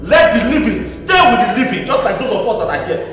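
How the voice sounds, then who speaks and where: A man's voice: sits high, big pitch swings, fast, fairly bright tone. An actor playing a haunted, self-justifying man in a film drama, stammering and repeating himself in agitation, with a Nigerian accent. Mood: defiant, shouting. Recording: echoey, reverberant hall sound with music.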